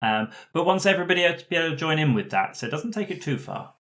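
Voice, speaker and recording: A man playing a tabletop roleplaying game, pitching ideas in casual group chat. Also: big pitch swings, racing, fairly bright tone.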